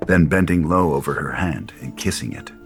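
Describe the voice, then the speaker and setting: A man reading scripted dramatic stage directions as a narrator, in a deliberate storytelling manner. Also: very deep voice, expressive, soft background music.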